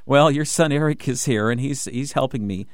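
A man hosting a radio talk show from the studio, talking in an excited rush with many restarts and fillers. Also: medium pitch, moderate pace, a little hiss or hum. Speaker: radio host.

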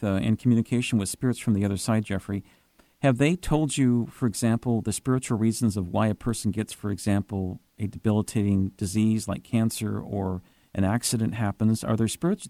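A man hosts a radio interview in a dry, close studio sound. His voice is low and mellow, and his questions come earnest and probing. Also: no room sound. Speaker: radio host.

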